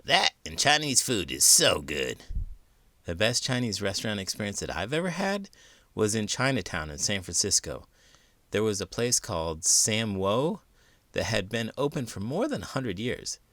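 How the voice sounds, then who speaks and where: Expressive, lively man reading a storybook. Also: on the low side, dry recording. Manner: expressive, theatrical. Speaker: children's read-aloud host, teacher. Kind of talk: animated storybook narration.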